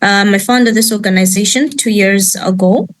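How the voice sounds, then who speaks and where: A young woman, speaking as a nonprofit founder on a video call and giving a halting personal testimony full of ums and restarts. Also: mid-range voice. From Malawi. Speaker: panel guest, nonprofit founder. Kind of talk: personal testimony in an online chat.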